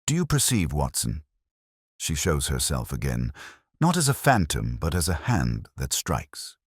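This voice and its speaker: A man voicing a keen, commanding gentleman detective in an audiobook, grave and intense, with formal, deliberate phrasing. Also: rumbling low, huge pitch swings, breathy edge, no room sound, clean studio sound, exaggerated voice. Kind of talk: audiobook character dialogue.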